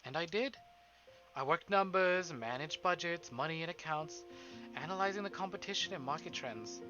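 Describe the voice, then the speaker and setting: A man voicing the inner monologue of a weary, wistful high-society wife in a visual novel, formal and introspective. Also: expressive, moderate pace, highs cut off, lightly acted. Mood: wistful, reflective. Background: game music.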